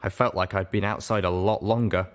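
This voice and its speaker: A man with a fairly low voice reads fiction aloud. His delivery is expressive first-person narration, as in an audiobook. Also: fairly quick. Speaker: audiobook reader.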